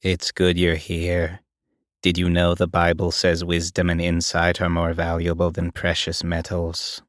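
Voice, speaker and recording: A man voicing a spacey, earnest oddball with a flat delivery in an audio drama. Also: low voice, unhurried. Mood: dazed earnestness. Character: spacey, lanky man of uncertain age quoting scripture.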